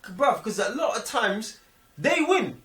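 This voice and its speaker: A man with a London accent speaks in a high, widely swinging voice. He talks casually in slang, expressively checking that the listener follows him. He sounds away from the mic in an echoey room. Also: sing-song, unhurried, pushed and bright. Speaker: film review podcast co-host. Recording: echoey room, distant microphone.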